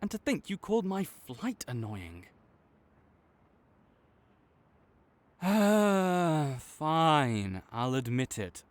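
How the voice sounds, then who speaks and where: A man playing an arrogant, teasing supervillain rival with a soft spot, speaking theatrically to the listener in an audio roleplay. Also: fairly high pitch, sing-song, slow, no echo. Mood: smug, teasing. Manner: smug, teasing, theatrical with sighs. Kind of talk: dramatic roleplay monologue spoken to the listener.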